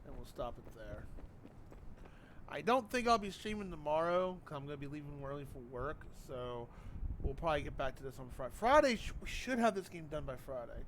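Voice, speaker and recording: A man's voice: on the high side, very animated, brisk, a little hiss or hum, low-quality recording. A man chatting casually as a game streamer, rambling in a conversational way. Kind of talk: casual livestream commentary.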